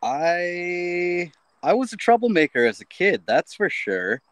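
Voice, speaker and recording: Laid-back musician on a dry mic. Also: male voice, sits high, slow pace, no room sound. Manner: relaxed, laid-back answers with fillers. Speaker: band member guest. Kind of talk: casual interview chat.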